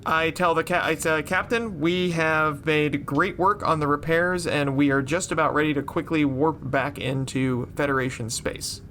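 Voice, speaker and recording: A man's voice: fairly high pitch, steady tone, moderate pace, hardly any pauses, fairly bright tone, slight background noise. A man playing a tabletop role-playing game, talking casually and asking questions as his character.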